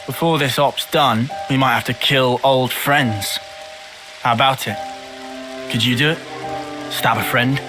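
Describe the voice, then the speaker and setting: A man voicing a blunt, confrontational young fighter in a video game cutscene, with a gruff, challenging manner. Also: mid-range voice, a little slow, long pauses, lightly acted. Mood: stern, challenging. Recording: loud music behind the voice.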